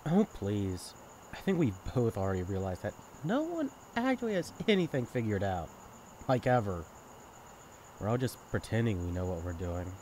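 A man's voice: fairly low pitch, sing-song, normal speed, darkish tone, slight background noise. A young man acting in an intimate audio roleplay as a sibling's best friend, talking candidly and casually in a caring, teasing way. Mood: wry, reflective. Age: young adult. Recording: night ambience with chirping crickets. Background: crickets and insects, outdoor ambience.

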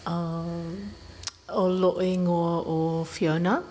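A woman with a New Zealand accent introducing herself on an art panel, soft-spoken and hesitant, with many pauses. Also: fairly low pitch, steady tone. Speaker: panelist, performer. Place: indoor art gallery. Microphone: stand mic. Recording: reverberant gallery.

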